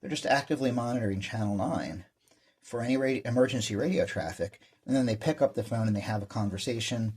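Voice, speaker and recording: A man's voice: medium pitch, fast, darkish tone, breathy edge. A man presenting a hobby vlog in casual, rambling show-and-tell talk, with an enthusiastic manner. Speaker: amateur radio hobbyist presenter.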